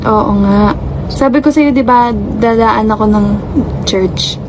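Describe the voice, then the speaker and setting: A woman's voice: a little high, slow, background noise. A young woman on a casual phone call, playfully teasing a friend she is pranking live. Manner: teasing, playful, laughs through her words.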